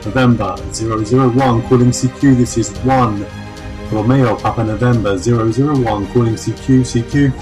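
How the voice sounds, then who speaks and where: A man's voice: medium pitch, steady tone, normal speed. Man calling out over radio, music behind. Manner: repeats call signs in clipped phonetic alphabet. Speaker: radio operator. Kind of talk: radio call-out. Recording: music playing underneath.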